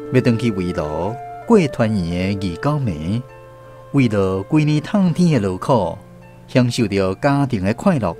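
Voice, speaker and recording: Animated male narrator over guitar music. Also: mid-range voice. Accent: Taiwanese. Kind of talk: scripted radio drama narration. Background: plucked guitar music.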